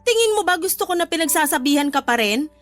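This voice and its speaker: An actress playing an exasperated, scolding but concerned older sister in a radio drama. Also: female voice, high-pitched, expressive, quick pace, fairly bright tone, soft background music. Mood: exasperated, pleading. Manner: scolding, emphatic. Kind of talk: Filipino radio drama dialogue.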